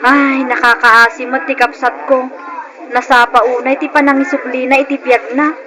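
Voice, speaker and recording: An actress playing a grasping, insistent sister-in-law who makes a show of sympathy, in an old-time Ilocano radio drama. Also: female voice, high-pitched, little pitch movement, moderate pace, crowd noise, lightly acted, rough recording. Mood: sympathetic.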